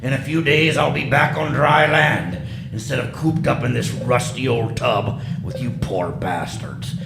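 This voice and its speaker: A man's voice: medium pitch, animated, unhurried, creaky. An actor playing a grumbling, scornful submarine crewman in a stage radio drama, griping and mocking. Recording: echoey live hall, music behind.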